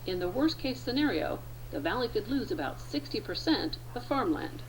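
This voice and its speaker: A woman's voice: mid-range voice, big pitch swings, normal speed, slight background noise, rough recording. A woman with an American accent reading scripted news narration as a science reporter.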